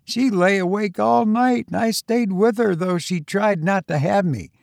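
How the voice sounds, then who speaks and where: A man reading a first-person story as an audiobook, in a folksy, expressive manner with rustic dialect phrasing. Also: sits high, a little slow, creaky, no room sound, studio quality. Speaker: audiobook reader.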